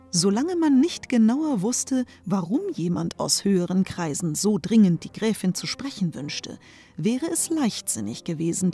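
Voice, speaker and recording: A woman narrates a fairy tale from a script. Her voice is mellow and of middling pitch. Music plays behind her. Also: slightly rough.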